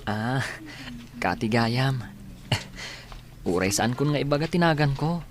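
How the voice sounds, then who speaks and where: A man's voice: medium pitch, lively intonation, takes it slowly, noisy background. Easygoing young Ilocano student played by a man. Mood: friendly. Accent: Ilocano Filipino. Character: polite young male student, respectful grandson. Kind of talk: radio drama acting.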